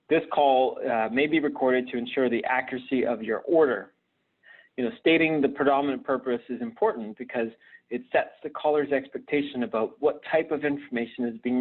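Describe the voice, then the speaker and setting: A man gives an instructional live webinar presentation, explaining things conversationally and haltingly, often hesitating and repeating words. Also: medium pitch. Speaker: presenter at a virtual conference. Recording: narrow, phone-like online call audio.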